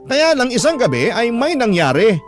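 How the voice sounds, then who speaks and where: A man's voice: very high-pitched, big pitch swings, normal speed. A man playing a young working student, earnestly confiding a troubled life story as scripted radio drama narration. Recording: prominent music bed behind voice.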